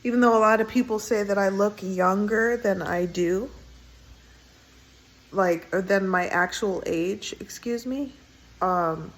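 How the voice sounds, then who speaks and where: A woman in her thirties talking in a casual personal vlog from home, soft-spoken and rambling with many fillers. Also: mid-range voice, a little slow, poor audio. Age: 30s. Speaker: vlogger. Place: indoors at home, window closed.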